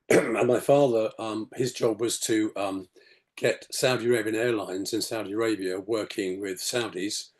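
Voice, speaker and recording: Gravelly low-voiced man in his sixties. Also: steady tone, a little slow. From England. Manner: rambling, lots of "you know" and restarts. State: hoarse after a detox. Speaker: podcast guest. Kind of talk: casual storytelling interview. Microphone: video call.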